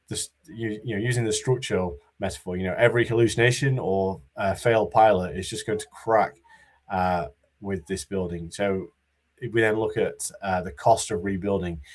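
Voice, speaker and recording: Low-voiced man giving a halting talk. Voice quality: monotone. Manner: halting, many fillers and restarts. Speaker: conference speaker. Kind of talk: conference talk.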